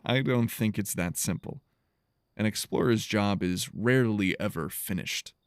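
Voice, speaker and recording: A man playing an earnest, gentle explorer stranded on an alien world in an audio drama, speaking in a reflective, measured way. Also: lowish voice, clean tone, no echo, studio quality, close to an everyday voice. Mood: thoughtful.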